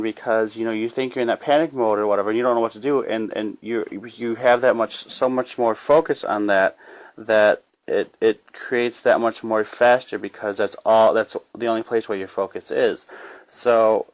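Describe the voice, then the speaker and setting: A man giving a casual, unscripted spiritual self-help monologue in a rambling, halting way, often repeating phrases and trailing off. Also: lowish voice. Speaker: spiritual life coach sharing guidance.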